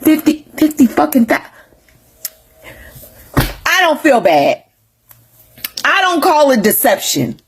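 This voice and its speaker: High, lively, husky-voiced woman scolding. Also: takes it slowly, long pauses. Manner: blunt, scolding, profane, laughing. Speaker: commentator. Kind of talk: reaction commentary video.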